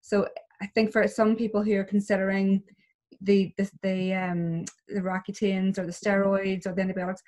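A woman's voice: mid-range voice, little pitch movement, moderate pace. Middle-aged woman co-hosting a skincare chat. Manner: warm, conversational, interjects with agreement. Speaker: skin clinic co-host. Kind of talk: casual chat. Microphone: video call.

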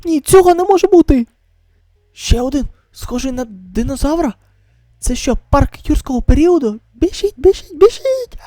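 Panicky young manga survivor acted by a woman, urgent exclamations, high-pitched, wildly swinging intonation. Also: lively intonation, moderate pace, dark tone, no echo. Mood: terrified. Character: frightened, panicky young survivor fleeing monsters. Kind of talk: amateur live manga dub.

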